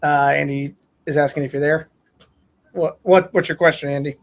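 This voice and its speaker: A woman's voice: low-pitched, fairly even intonation, moderate pace. A woman hosting a casual podcast, chatting informally and a little hesitantly, and reading fan fiction aloud.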